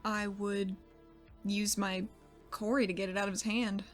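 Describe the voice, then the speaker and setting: A woman's voice: mid-range voice, level tone, a little slow, soft background music. A woman playing a tabletop roleplaying game, improvising casually as her character and chatting at the table.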